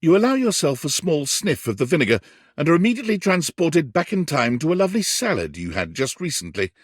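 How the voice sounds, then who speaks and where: A whimsical storybook narrator for a video game, with a husky voice in a very dry, close recording. His delivery is theatrical and lively in pitch, wry yet tender, with carefully weighed phrasing. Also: fast talker, no room sound. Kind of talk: scripted second-person storybook narration.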